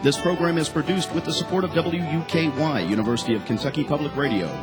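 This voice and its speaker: A man's voice: a little high, fast talker. Male radio announcer over guitar music. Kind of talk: scripted broadcast credits read. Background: acoustic guitar music.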